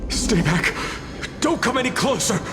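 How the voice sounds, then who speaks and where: Jittery, very high-pitched frightened stranger. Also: male voice, expressive, unhurried. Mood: panicked. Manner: jittery, stammering. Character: panicky, frightened male stranger wary of others. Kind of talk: video game voice acting. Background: music.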